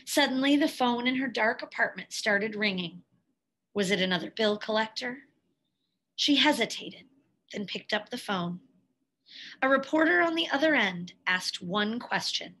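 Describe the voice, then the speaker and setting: A woman reading a picture book aloud expressively, in a measured way with dramatic pauses and emphasis. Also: a little high, animated, sharp tone, poor audio. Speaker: read-aloud storyteller, teacher.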